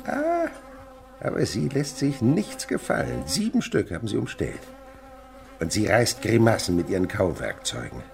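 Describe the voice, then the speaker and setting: Animated elderly insect researcher, creaky. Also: male voice, on the low side, moderate pace, heavily acted. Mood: excited wonder. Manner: emphatic, animated, instructive. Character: elderly French insect researcher, excitable, lecturing, commanding. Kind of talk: German radio play acting. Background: buzzing insects.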